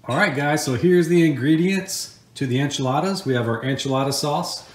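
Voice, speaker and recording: A man's voice: on the high side, moderate pace. A middle-aged man with an American accent, casually talking viewers through a recipe as host of a home cooking video. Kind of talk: casual how-to cooking narration. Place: home kitchen, indoors. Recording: echoey kitchen room.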